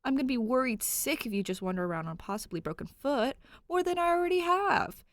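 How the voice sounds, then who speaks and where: A woman's voice: sits high, big pitch swings, a little fast, no room sound, pitched above the performer's own voice. A woman voicing a caring young male cop, worried and placating, in a fan fiction audiobook. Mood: pleading.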